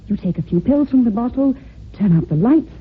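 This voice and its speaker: A woman's voice: a little high, lively intonation, fairly quick, breathy edge. An actress playing an earnest, insistent secretary who presses her boss in an old-time radio drama. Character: earnest, urgent secretary building a case, protective of her boss. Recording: tinny old radio recording.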